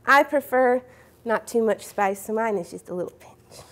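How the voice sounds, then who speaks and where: A woman's voice: fairly high pitch, normal speed. A young woman hosting a cooking show, explaining each step in a friendly way in a studio kitchen. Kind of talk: cooking demonstration. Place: home-style studio kitchen.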